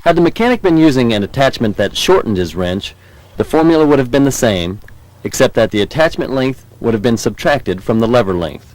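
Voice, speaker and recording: An American man reading scripted training film narration in a measured, formal, instructional manner. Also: medium pitch, animated, a little mellow, poor audio. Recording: 1990s VHS training film soundtrack.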